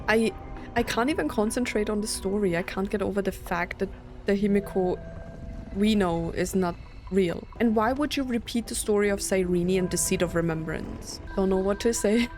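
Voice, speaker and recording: A woman's voice: medium pitch, moderate pace, a little mellow. A woman doing let's play commentary, thinking aloud in disbelief and reading game text out. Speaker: gaming streamer. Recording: game music behind the voice. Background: game music and game dialogue.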